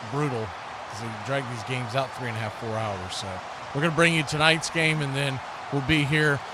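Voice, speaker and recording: A man hosting live sports commentary in a casual, folksy way, chatting to viewers as a play-by-play announcer. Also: fairly high pitch. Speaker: play-by-play announcer and livestream host. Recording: clearly noisy live stream with background ambience.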